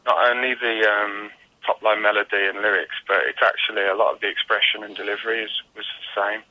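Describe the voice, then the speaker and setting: A British man speaking as a musician guest in a casual interview, thoughtful, modest and measured in how he talks. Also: fairly low pitch, flat delivery, highs cut off. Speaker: guest singer-songwriter.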